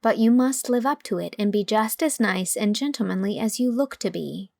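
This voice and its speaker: A woman voicing a gentle, earnest teenage girl in an audiobook, composed and kindly. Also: mid-range voice, normal speed, creaky, no echo, studio quality, natural voice. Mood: grave, kind. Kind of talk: audiobook character reading.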